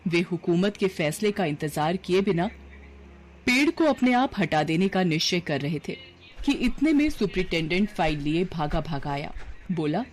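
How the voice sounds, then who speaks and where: A woman narrating a scripted story in an expressive storytelling manner. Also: fairly low pitch, moderate pace.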